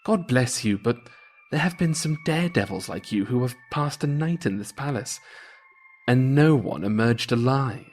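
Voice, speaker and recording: A man's voice: mid-range voice, expressive, moderate pace, faint music behind, natural voice. Imperious, cautioning Tsar, voiced by a man. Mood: incredulous warning. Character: male Tsar, incredulous, cautioning ruler.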